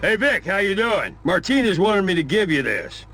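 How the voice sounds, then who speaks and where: A man's voice: high-pitched, very animated, moderate pace, fairly bright tone, faint music behind. An actor playing a breezy, casual, shady criminal errand man in a video game. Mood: breezy, reassuring.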